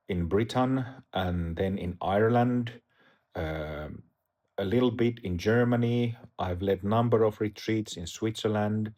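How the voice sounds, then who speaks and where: A middle-aged man from Finland with a Finnish accent, talking to camera as a Dharma teacher in a hesitant, halting way with many fillers. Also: fairly low pitch, darkish tone. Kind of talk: casual talk to camera.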